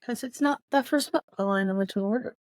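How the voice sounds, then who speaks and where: A woman's voice: a little high, fast talker, darkish tone, no echo, clean studio sound. A woman co-hosting a podcast in a casual book discussion, speaking in an opinionated, playfully emphatic way.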